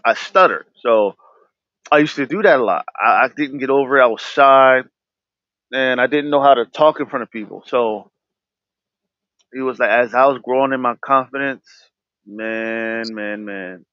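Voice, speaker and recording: A man's voice: mid-range voice, moderate pace, fairly bright tone, no echo. A man hosting his own podcast, speaking candidly about himself with a stutter, restarting his words often. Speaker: podcast host. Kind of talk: candid personal talk. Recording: dull, lo-fi dry sound.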